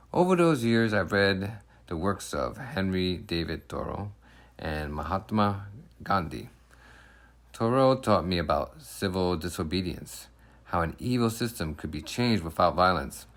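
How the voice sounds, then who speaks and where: Low voiced guy reading a kids picture book, really animated, clear emphasis on the phrases. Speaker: storybook reader. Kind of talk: expressive children's picture-book narration in first person.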